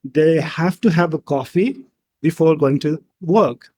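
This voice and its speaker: A man from Nepal, talking in a halting way about how he got into coffee. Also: a little high, mellow. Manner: slow, halting, with fillers. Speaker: guest.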